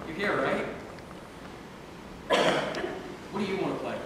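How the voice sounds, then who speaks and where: A man's voice: a little high, expressive, very fast, natural voice. A man playing an easygoing, caring young friend in a stage play. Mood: reassuring. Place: stage in a hall, far camera. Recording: very echoey hall, distant mic, noisy.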